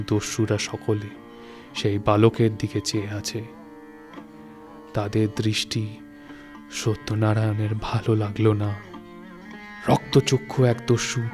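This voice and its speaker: Man, dramatic literary narration, slow pace, deliberate pauses, suspenseful phrasing, medium pitch, music underneath. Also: a little mellow. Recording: studio recording with music. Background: music and night insect sounds.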